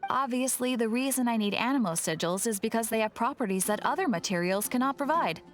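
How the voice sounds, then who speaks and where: An actress playing a cheerful, sly shopkeeper of rare curios in a video game, with welcoming, playful sales patter. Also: female voice, on the high side, expressive, fast talker, soft background music, close to an everyday voice. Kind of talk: video game character acting.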